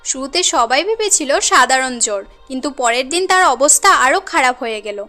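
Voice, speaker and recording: High-voiced woman narrating a cartoon story. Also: expressive, normal speed, pushed and bright, faint music behind.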